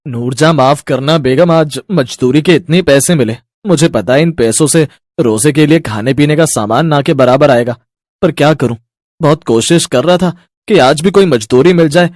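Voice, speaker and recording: An actor playing a poor labourer husband in a storybook tale, earnest and apologetic. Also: male voice, on the high side, moderate pace, a little mellow, dry recording, studio quality, natural voice.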